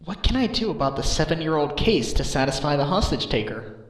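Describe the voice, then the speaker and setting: A man playing an earnest, excitable defense lawyer in a fan dub of a courtroom mystery game, emphatic and pleading. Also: on the high side, brisk, husky, dry recording. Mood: earnest, pressing.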